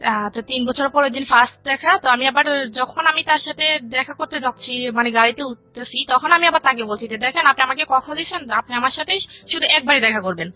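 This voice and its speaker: A woman's voice: high-pitched, brisk. A woman with a Bangladeshi accent tells a personal life story conversationally, recounting dialogue in detail. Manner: recounts dialogue in detail, quoting both sides. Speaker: guest telling her life story. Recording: dull lo-fi radio audio with music. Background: background music.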